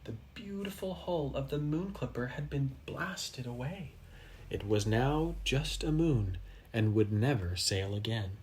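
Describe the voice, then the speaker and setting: A man reading a children's story aloud expressively, with dramatic pauses for effect. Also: medium pitch, expressive, darkish tone, a little hiss or hum. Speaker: storybook reader.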